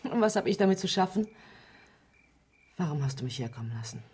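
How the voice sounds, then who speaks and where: A woman's voice: fairly low pitch, very animated, a little fast, a little mellow, breathy edge, full character voice. An actress playing a blunt, persistent woman journalist with a direct manner in a German radio crime drama. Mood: fed up. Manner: direct, probing. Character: blunt, fed-up, persistent female investigative journalist.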